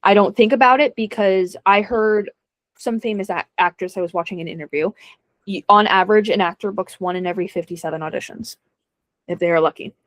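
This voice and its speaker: A woman's voice: mid-range voice, brisk, dry recording. Chatty laughing woman, dry sound. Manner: chatty, self-deprecating, laughs often. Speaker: guest actor. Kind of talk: casual interview chat.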